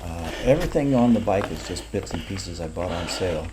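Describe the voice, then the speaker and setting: A man in his sixties giving relaxed, rambling commentary over his mountain bike ride footage, with plenty of fillers. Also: sits low, fairly quick, noisy background. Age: 60s.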